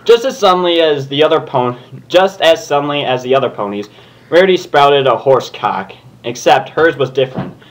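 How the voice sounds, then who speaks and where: A man's voice: fairly high pitch, expressive, moderate pace, poor audio. A man co-reading fan fiction for comedy, casual, giggly and exasperated in his asides. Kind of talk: amused comedic read-aloud with asides.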